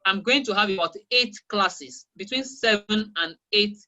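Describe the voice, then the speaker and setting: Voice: medium pitch, moderate pace, bright, forceful tone. A teacher from Nigeria with a Nigerian accent, a Yoruba speaker, answering interview questions emphatically and repeating key phrases over a video call. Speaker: guest teacher of English.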